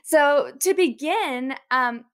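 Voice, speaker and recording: A woman's voice: sits high, slow. A woman hosting a podcast, chatting enthusiastically with her guest and reacting with exclamations. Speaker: podcast host. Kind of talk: casual interview chat.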